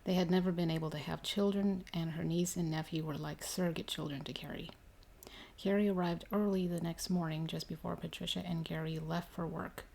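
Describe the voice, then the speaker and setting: A woman reading a story aloud ASMR-style, soft and unhurried, partly whispered. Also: lowish voice, steady tone, creaky, poor audio. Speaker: ASMR reader. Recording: faint noise behind the voice.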